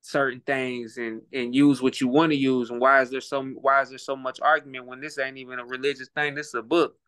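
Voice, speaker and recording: A man's voice: mid-range voice, little pitch movement, brisk, a bit bright, clean tone, no room sound. A man with an Oklahoma accent, talking as a guest on a casual podcast in a laid-back, reflective way, repeating words while he thinks. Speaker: guest, rapper and boxer.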